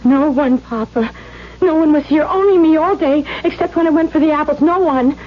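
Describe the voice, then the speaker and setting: Woman playing a high-voiced, frightened, stammering daughter. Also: moderate pace, close to an everyday voice. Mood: desperate. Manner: timid, stammering, hesitant. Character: frightened, submissive young daughter of a tyrannical father. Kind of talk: 1970s radio drama acting. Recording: dull 1970s radio recording.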